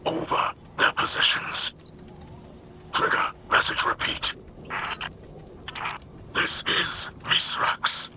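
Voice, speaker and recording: An actor voicing a solemn, devout and guarded male alien leader in a video game, with a breathy, rasping, inhuman growl and formal, portentous speech. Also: on the high side, noisy background, full character voice. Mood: urgent, solemn. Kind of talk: scripted video game character acting.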